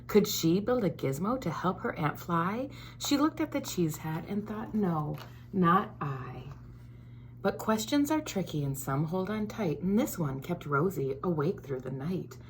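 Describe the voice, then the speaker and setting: A woman, a school board member, reading a children's picture book aloud in an expressive, sing-song storytelling manner, sometimes dropping to a whisper. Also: lowish voice, moderate pace, few pauses, slight background noise, low-quality recording.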